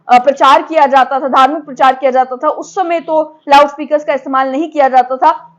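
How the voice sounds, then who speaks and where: A woman news presenter. She delivers explanatory commentary, slipping English technical terms into her sentences. The audio is slightly dull and lo-fi. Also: sits high.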